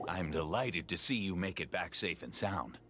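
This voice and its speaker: Deep-voiced solemn game warrior. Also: male voice, expressive, moderate pace, music in the background. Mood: pleased. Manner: solemn, encouraging. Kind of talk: video game voice acting.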